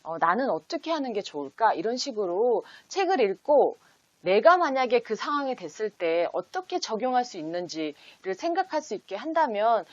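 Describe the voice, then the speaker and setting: A woman's voice: fairly high pitch, sing-song, moderate pace, no echo, dull audio. A woman hosting an instructional talk for parents, explaining step by step and posing example questions as if to a child. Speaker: host teaching parents how to read picture books with children. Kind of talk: instructional video talk in numbered steps.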